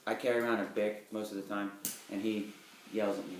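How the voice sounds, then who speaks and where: A man's voice: fairly low pitch, flat delivery, fairly quick, far from the mic. A young man from Florida co-hosting a cigar show, explaining things casually between bits of banter. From Florida, United States. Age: young adult. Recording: echoey room, clear background noise, clicks.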